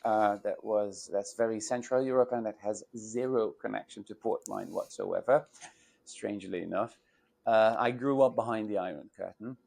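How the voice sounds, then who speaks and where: A man's voice: fairly low pitch, normal speed, vocal fry, a little mellow. A man in his forties from Hungary, with a Hungarian accent, speaking English on a video call as a port wine educator, thoughtful with many fillers and restarts.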